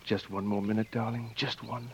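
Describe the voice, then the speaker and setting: An actor playing a romantic, breezy American flyer who talks in a wry, coaxing way in a 1940s radio drama. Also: male voice, fairly low pitch, level tone, normal speed, lightly acted. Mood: tender. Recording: muffled, noisy 1940s radio broadcast.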